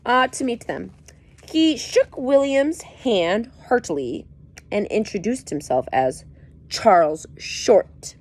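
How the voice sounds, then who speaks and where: Reader reading a book chapter aloud, lively up-and-down melody, playful asides. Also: female voice, medium pitch, slow, no echo.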